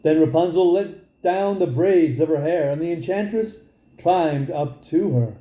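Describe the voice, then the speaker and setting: Slow, mellow man reading a fairy tale. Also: fairly high pitch, reverberant. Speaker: storybook reader. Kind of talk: expressive fairy tale narration.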